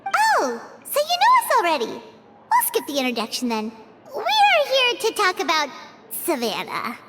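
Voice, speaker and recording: A woman voicing a tiny, childlike floating companion in an anime game, chirpy and curious with a squeaky voice. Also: huge pitch swings, a little slow, big-room sound, noisy background, full character voice. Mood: eager, chatty.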